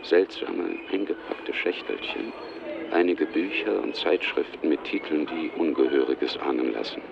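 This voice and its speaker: A man narrating a scripted radio drama in a measured, literary delivery. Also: very deep voice, gravelly, highs cut off, background noise.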